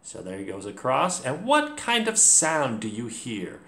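A man in his thirties leads a children's read-along. His speech is deliberate and clear, with lively intonation, recorded in a roomy space at home. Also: mid-range voice. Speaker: teacher, host.

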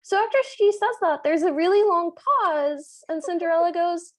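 A high-voiced woman talks as a podcast co-host. She recaps a film in a loose, conversational way, with wry asides and plenty of filler "like". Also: normal speed, a little mellow. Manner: wry, uses "like" often. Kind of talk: casual, joking film recap.

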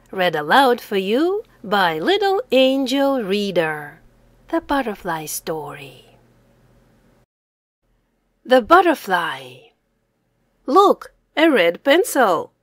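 A young woman teacher reading aloud a simple language lesson for children, saying words deliberately and separately, with exclaimed prompts. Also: mid-range voice, no room sound, clean studio sound. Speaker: English teacher.

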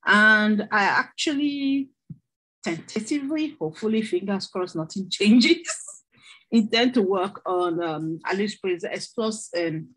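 A doctoral student introducing herself in an online class, chatty and laughing, with restarts. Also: female voice, mid-range voice, lively intonation, unhurried, a bit bright, breathy edge, poor audio. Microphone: video call.

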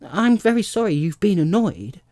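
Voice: mid-range voice, very animated, moderate pace, darkish tone, no room sound, studio quality, voice pushed up. A storybook reader voicing a polite, earnest little girl who sounds easily puzzled. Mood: earnest, flustered.

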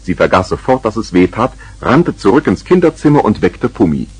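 A man with a husky voice narrating a scripted 1970s children's radio play. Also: fairly low pitch, big pitch swings, a little fast, full character voice.

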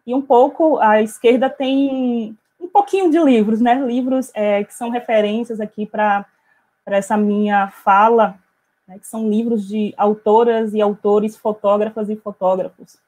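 A middle-aged woman from Bahia, giving an academic talk over a video call on an earphone headset, measured and full of hesitations. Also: fairly high pitch, steady tone, a little mellow. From Bahia, Brazil. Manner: measured, many hesitation fillers. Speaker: invited speaker, author. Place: small room at home, indoors.

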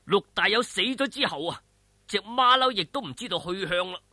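A man's voice: high voice, expressive, moderate pace, pushed and bright, no room sound, clean studio sound. A man with a Hong Kong Cantonese accent telling a martial arts story in a theatrical, colloquial, suspenseful style.

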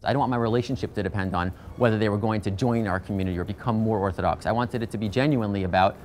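A man's voice: fairly low pitch, very fast, a little hiss or hum. A man in his thirties, a rabbi from the US East Coast, speaking in an earnest, reflective, conversational way in a documentary interview. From United States, East Coast. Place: outdoors on a shaded porch. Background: soft music.

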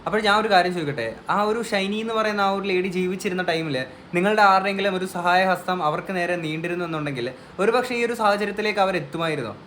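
A man's voice: high voice, quick pace, fairly bright tone, slight background noise. A man giving earnest, emphatic opinion commentary as a vlogger on a news case.

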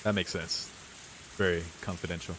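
A young man with a low, deep voice reads visual novel narration aloud as a let's player, with casual reactions. Rain and hiss are clearly heard behind him. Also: steady tone, moderate pace.

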